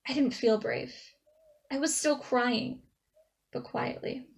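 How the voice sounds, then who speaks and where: A traumatized young woman, played by a woman tells her story as a theater monologue. Her voice is fairly high, and her delivery is emotional and halting, with dramatic pauses. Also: creaky, heavily acted. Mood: anguished, tense. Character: traumatized young woman recounting a childhood dog attack.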